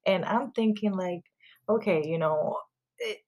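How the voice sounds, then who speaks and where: A woman's voice: mid-range voice, measured pace, darkish tone. A woman in her thirties hosting a casual gossip podcast, chatty and loose, with lots of "like" fillers and casual swearing. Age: 30s. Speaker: podcast host.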